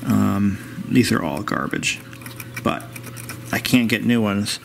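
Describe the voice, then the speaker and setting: A man narrating a vintage radio repair in a casual, rambling, halting and plain-spoken way, as a hobbyist vlogger. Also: lowish voice. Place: indoors in a home. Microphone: handheld camera, operator behind it. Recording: clear background room noise.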